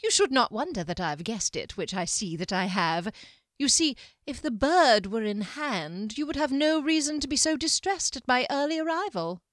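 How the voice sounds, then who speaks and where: A woman voicing a calm, sly male wizard who mocks in slow, quietly menacing tones. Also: sits high, no room sound, clean studio sound, pitched above the performer's own voice. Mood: mocking.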